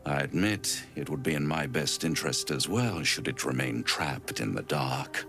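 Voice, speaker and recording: An actor playing an urbane, scheming devil in a video game, speaking in a theatrical, grandiloquent and menacing manner. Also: male voice, very deep voice, expressive, normal speed, bright, forceful tone, full character voice. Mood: grave warning. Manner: theatrical, silky, verse-quoting, grandiloquent. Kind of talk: video game dramatic acting.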